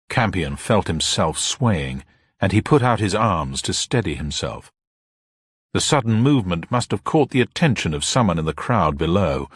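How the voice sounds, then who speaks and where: A man's voice: low voice, husky, no room sound, clean studio sound. A man reading a thriller novel aloud as a dramatic narrator. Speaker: audiobook narrator.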